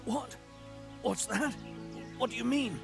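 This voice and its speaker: A man's voice: extremely high pitch, takes it slowly, creaky, music in the background, full character voice. A man voicing an anxious, bewildered wounded hired guard held captive in a video game. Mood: alarmed, confused. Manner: anxious, questioning.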